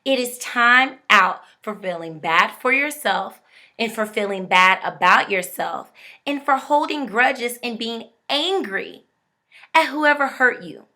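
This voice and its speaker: A woman's voice: a little high, animated, slow pace, sharp tone. A young woman giving an emphatic, preachy motivational talk straight to her viewers. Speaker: motivational speaker.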